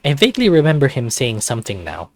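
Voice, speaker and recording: A man reading visual novel narration aloud in an expressive scripted style. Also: mid-range voice, fast talker, no room sound. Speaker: visual novel let's play reader.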